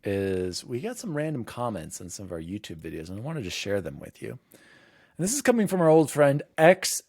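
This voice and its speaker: A man hosting a casual gaming podcast, chatting with excitable, jokey emphasis. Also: fairly low pitch, moderate pace, dry recording, clean studio sound. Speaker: podcast host.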